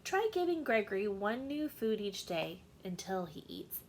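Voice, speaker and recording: A woman voicing a calm, practical male ram doctor from a storybook, giving measured, reassuring advice. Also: mid-range voice, slight background noise.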